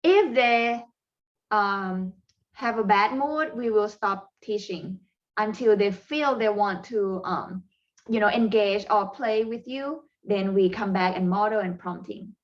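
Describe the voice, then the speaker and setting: A woman with a Thai accent, whose first language is Thai, presents parent coaching strategies in a webinar, pausing often with fillers and non-native phrasing. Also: mid-range voice, lo-fi sound.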